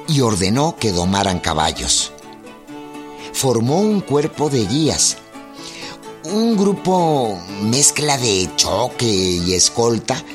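A man with a Mexican accent delivering dramatic scripted narration for a historical radio drama. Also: medium pitch. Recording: studio narration over music. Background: music.

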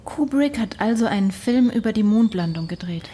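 A woman acts out a dry, sceptical questioner in a radio drama. Her voice is dark and relaxed, with faint ambience around it. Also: medium pitch, fairly quick, a little hiss or hum, close to an everyday voice. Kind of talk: radio play dialogue.

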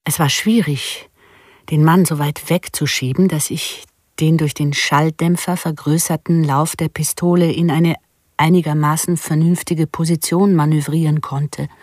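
An actress playing a composed contract killer and devoted mother who gives measured, matter-of-fact accounts in a radio drama. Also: sits low, steady tone, a little mellow, no room sound, clean studio sound. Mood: cool, matter-of-fact. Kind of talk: first-person radio drama monologue.

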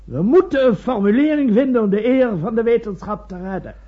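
An actor playing an indignant professor guarding scientific honour in a radio drama. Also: male voice, very high voice, brisk, slightly rough. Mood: alarmed, insistent. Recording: dull old radio recording.

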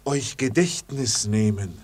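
An actor playing a fearful, submissive servant to explorers, husky and deferential, speaking halting broken German in a radio play. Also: male voice, on the low side, expressive, a little mellow, heavily acted. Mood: reluctant, submissive. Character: fearful, submissive male native servant left behind with explorers.

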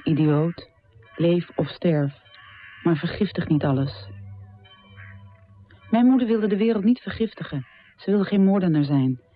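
Radio drama: actress as poet's daughter, sincere and devoted, band-limited recording, faint music underneath. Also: female voice, fairly low pitch, narrow, tinny audio, close to an everyday voice. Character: earnest, devoted daughter of a poet.

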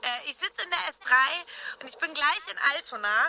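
An actress playing a hesitant, apologetic, playful young date in a naturalistic radio drama. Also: female voice, sits high, expressive, a little fast, in a large hall, a crowd in the background. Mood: hesitant, friendly.

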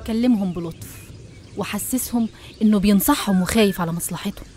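A woman with an Egyptian accent narrating a scripted animated story. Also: medium pitch, fast talker, soft background music.

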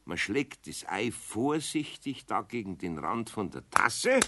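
An actor playing an older, good-natured but grumbling master carpenter with a Bavarian accent in a children's radio play. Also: male voice, on the low side, very animated, unhurried, creaky, heavy background noise, exaggerated voice. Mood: exasperated. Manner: grumbling, sighing.

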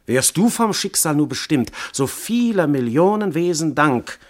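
An actor playing a god disguised as a mortal husband, ardent and commanding, in lofty classical verse for radio drama. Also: male voice, a little high, sing-song, a little slow. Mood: yearning, grand. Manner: impassioned, lofty, theatrical declamation.

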